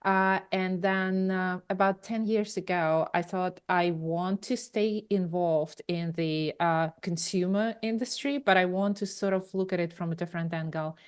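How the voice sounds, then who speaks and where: A woman's voice: on the low side, fairly even intonation, measured pace, hardly any pauses, clean tone. A woman in her forties with a Ukrainian accent, a podcast guest telling her career story in long, rambling answers full of fillers and restarts. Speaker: guest, tech company founder. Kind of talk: conversational interview answers.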